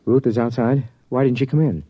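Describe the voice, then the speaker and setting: A man's voice: medium pitch, a little fast, breathy edge, natural voice. An actor playing an anxious, earnest father in an old-time radio drama, speaking and narrating with some hesitancy. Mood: strained, hesitant. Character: anxious, troubled father confiding his story. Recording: dull vintage radio recording, music behind.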